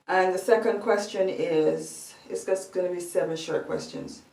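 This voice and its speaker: A woman with a Jamaican accent chatting slowly in a home vlog, thinking aloud with many ums. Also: on the low side, fairly even intonation, creaky, darkish tone. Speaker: vlogger. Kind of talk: casual vlog chat. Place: room at home. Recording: echoey room, voice a bit far from the mic.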